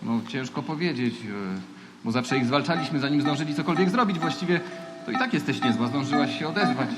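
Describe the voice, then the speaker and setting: Male actor as boyish space private, stubborn, grudgingly friendly, highish lively voice, radio play, loud music behind. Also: a little fast, echoey room, close to an everyday voice, poor audio. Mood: matter-of-fact. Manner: hesitant, colloquial, backtracking. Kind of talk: children's theatre radio play dialogue.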